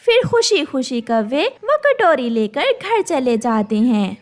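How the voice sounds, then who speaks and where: A woman's voice: high-pitched, very animated, slow. A woman narrating a scripted children's story.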